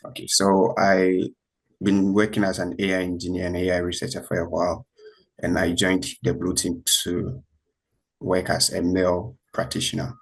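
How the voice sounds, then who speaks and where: A man, an AI engineer, introducing himself briskly in an online meeting. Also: low-pitched, monotone, husky, rough recording. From Ghana. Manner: brisk, uses "okay, so".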